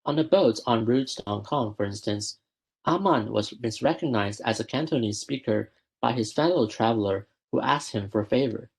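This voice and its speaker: A young man presenting a prepared academic paper at a student research school, reading in a measured way and often correcting himself mid-word. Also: lowish voice, gravelly, slightly rough. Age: young adult. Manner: measured, with frequent self-corrections.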